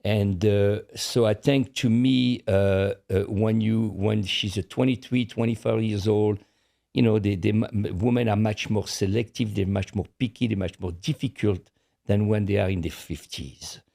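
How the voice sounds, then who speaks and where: A man's voice: on the low side, steady tone, normal speed, creaky, mellow. An older man from France with a French accent, speaking frankly as a podcast co-host and repeating words as he searches for English. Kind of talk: casual chat.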